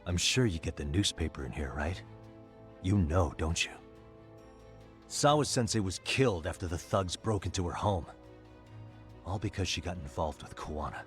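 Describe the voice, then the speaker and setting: A calm, determined detective questions a prisoner with steady, pressing insistence. His voice sits deep and low, and a faint music bed runs under the game scene. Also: moderate pace, pauses often. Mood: stern. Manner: pressing, steady interrogating delivery. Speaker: detective in cutscene. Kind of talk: game voice acting, dub.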